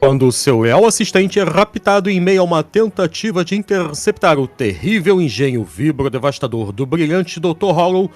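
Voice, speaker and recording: A man giving grandiose, theatrical scripted narration for a pulp adventure audio drama. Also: fairly high pitch.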